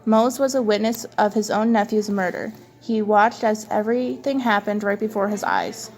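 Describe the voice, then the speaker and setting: A woman's voice: mid-range voice, fairly even intonation, moderate pace, darkish tone. A woman reading a scripted news report aloud as one of two presenters.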